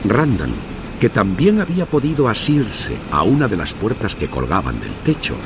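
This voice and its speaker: A man narrating a children's story in an expressive, theatrical scripted style. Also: on the low side, normal speed, noisy background.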